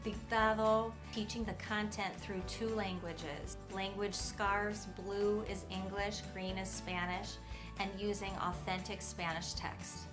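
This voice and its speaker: A woman narrates a school district video with an upbeat, steady delivery. Her voice sits mid-range, with music playing underneath. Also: mid-range voice. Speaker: multilingual instructional coach.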